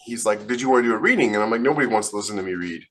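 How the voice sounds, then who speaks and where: A man's voice: lowish voice, animated, very fast, a bit bright. A man co-hosting a podcast, chatting casually and giving advice in an opinionated, emphatic way. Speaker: podcast co-host.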